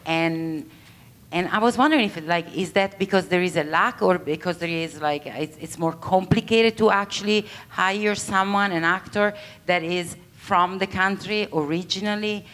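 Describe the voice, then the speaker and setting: A woman's voice: on the low side, normal speed, a bit bright. A middle-aged woman from Italy with an Italian accent, asking a panel a question from the audience and searching for her words.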